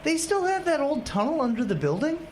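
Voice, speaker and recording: A woman's voice: high-pitched, very animated, a little fast, a little mellow, a little hiss or hum. A woman playing an eager, stubbornly curious young married man coming home, in an audio drama.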